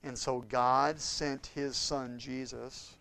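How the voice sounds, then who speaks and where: A man preaching a sermon with impassioned swings between loud and hushed delivery. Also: medium pitch, level tone, slow, creaky, dry recording. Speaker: pastor.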